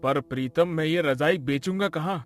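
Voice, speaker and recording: An actor playing a poor, humble, worried young husband in a cartoon story, earnest in manner. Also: male voice, a little high, moderate pace, soft background music, lightly acted. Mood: considering.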